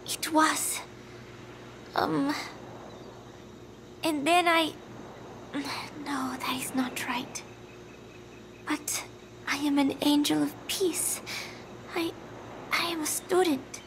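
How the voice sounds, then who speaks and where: A woman playing a gentle, confused young student in a video game, speaking softly and hesitantly and trailing off. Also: sits high, steady tone, drawn-out words, pauses often, background noise, heavily acted. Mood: confused, weary. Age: young adult. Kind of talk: dramatic video game acting.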